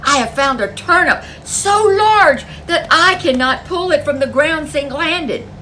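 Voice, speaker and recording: A woman voicing an excited old farmer in a folk tale, calling out loudly and urgently. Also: sits high, measured pace, a little hiss or hum, voice pushed up, exaggerated voice, poor audio. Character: excited old male farmer, shouting for help. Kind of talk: storybook character voice.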